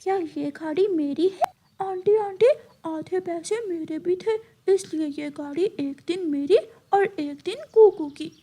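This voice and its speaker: Cartoon little boy bird played by a woman: squeaky-high, whiny, excitable, quick to squabble. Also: a little hiss or hum. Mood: insistent, pleading. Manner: childlike, whiny, pleading.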